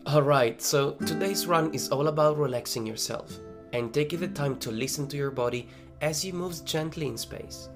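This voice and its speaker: A man narrating a guided running meditation as a coach, giving gentle instructions, with plucked guitar behind him. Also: on the high side, normal speed, hardly any pauses. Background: plucked guitar music.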